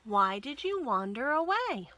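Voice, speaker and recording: A woman's voice: high voice, sing-song, measured pace, pushed and bright, no room sound, heavily acted. A woman voicing an affectionate little girl, eager and tender, in a children's storybook. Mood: fond scolding. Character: affectionate little girl, fond and motherly toward her bear. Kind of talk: children's storybook read-aloud.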